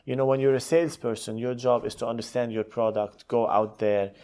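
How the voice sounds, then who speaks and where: A man's voice: medium pitch, fairly even intonation, a little fast, warm tone. A middle-aged man, telling his career story conversationally as an interview guest. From Lebanon. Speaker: podcast guest, hotel executive.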